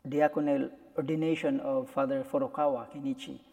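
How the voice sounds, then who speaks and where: A man in his forties with a Filipino accent answers questions as a priest in a relaxed interview. His voice sits at an average pitch. The sound rings with the long reverb of a hall. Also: fairly quick, creaky. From Philippines. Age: 40s. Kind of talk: casual interview chat. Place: echoey room in a church.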